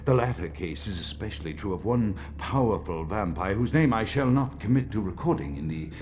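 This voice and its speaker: A man's voice: fairly low pitch, animated, fairly quick, darkish tone, faint music behind, natural voice. An actor playing an erudite, afflicted male vampire scholar, dictating a game audio journal in florid, formal, pompous language. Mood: wary, secretive.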